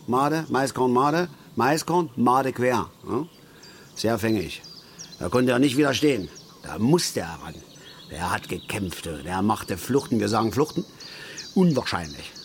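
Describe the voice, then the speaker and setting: An actor playing a grumbling, opinionated middle-aged angler in a radio drama, with a folksy, lecturing manner. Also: male voice, medium pitch, very animated, a little slow, slight background noise. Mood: wistful, engrossed. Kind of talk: naturalistic German radio drama acting.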